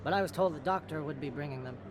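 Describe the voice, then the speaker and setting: An actor playing a sly, nervous alien shopkeeper with a high, sing-song voice. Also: male voice, quick pace, noisy background, lightly acted. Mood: wheedling. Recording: game audio with music.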